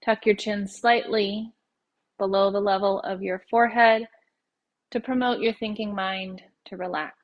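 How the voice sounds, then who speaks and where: A woman leading a guided meditation as a yoga nidra teacher, speaking in slow, unhurried phrases with gentle pauses. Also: mid-range voice, fairly even intonation, highs cut off.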